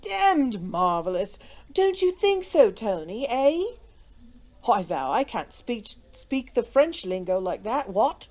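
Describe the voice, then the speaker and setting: A woman voicing a lazy, foppish, imperturbable English gentleman with a British accent and a blandly amused drawl in an audiobook. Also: a little high, big pitch swings, slow. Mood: astonished, bland. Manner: drawling, blandly amused, affected upper-class exclamations.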